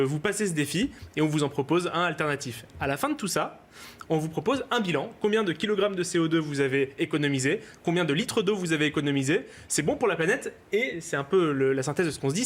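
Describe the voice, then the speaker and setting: Fast-talking man on a talk show. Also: fairly high pitch, lively intonation. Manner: brisk, explanatory, listing examples. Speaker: app creator, guest.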